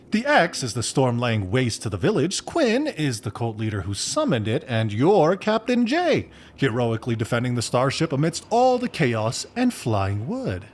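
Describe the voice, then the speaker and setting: A theatrical, animated geeky best friend. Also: male voice, mid-range voice, slightly rough. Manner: theatrical, emphatic, rambling. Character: dramatic, needy, exasperated geeky best friend, a man.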